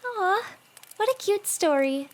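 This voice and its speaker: A woman playing a sweet, excitable teenage girl with a crush in a fan audio drama, expressive and earnest. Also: extremely high pitch, slow pace, a little hiss or hum, heavily acted. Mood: cheerful, curious.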